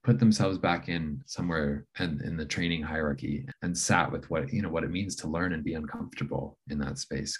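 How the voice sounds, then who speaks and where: A man's voice: rumbling low, slightly rough. A middle-aged man, a palliative care physician, talking thoughtfully in an interview, with many fillers and restarts. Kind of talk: reflective interview talk.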